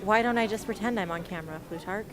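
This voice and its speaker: A woman's voice: medium pitch, quick pace, crowd noise, close to an everyday voice. A woman playing an anxious, guarded teenage girl rebel in an amateur radio drama. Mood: wry.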